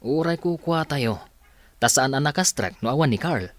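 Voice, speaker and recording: Man acting expressive, brooding young man. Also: on the high side, normal speed, dry recording, lightly acted. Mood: defensive. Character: brooding, competitive young man, boss's son. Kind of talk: Ilocano radio drama acting.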